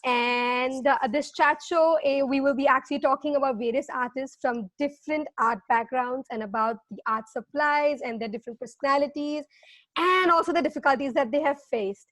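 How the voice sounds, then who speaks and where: A woman's voice: sits high, normal speed, a bit bright, dry recording. A woman from India co-hosting a casual chat show, bubbly and eager as she asks questions. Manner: bubbly, eager interviewer with fillers. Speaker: co-host.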